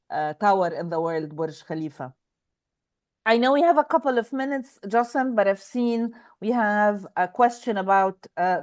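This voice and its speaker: A woman's voice: mid-range voice, a little mellow. A woman speaking as a webinar panelist, hesitant, with many fillers. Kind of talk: casual webinar remarks.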